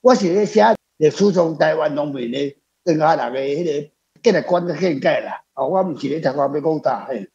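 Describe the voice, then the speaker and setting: A man's voice: a little high, expressive, brisk, gravelly, darkish tone, breathy edge, muffled recording. A man with a Taiwanese accent chatting off the cuff as a guest author, rambling through anecdotes and repeating his phrases. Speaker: author guest answering questions. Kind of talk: unscripted conversational talk.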